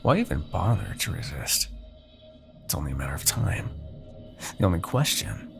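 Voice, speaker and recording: A man acts out a Demon King from a fantasy audio roleplay, commanding and silkily menacing. The low voice crackles with gravel and swings widely as he taunts with theatrical, possessive relish. Faint music plays behind him. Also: sing-song, fairly bright tone, full character voice. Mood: coaxing, menacing. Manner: taunting, theatrical, drawn-out deliberate phrasing. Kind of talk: fantasy ASMR roleplay acting.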